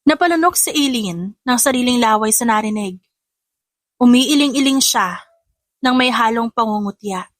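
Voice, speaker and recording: A woman with a fairly high voice narrates a scripted story. Her reading is dramatic storytelling. The recording is dry, with no room sound. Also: expressive, moderate pace, no room sound.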